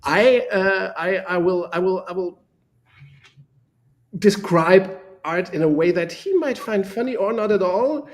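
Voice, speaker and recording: Man, German accent, native German speaker, slightly high voice, enthusiastic, rambling, word repetitions, casual co-host talk. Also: unhurried. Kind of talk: casual live discussion.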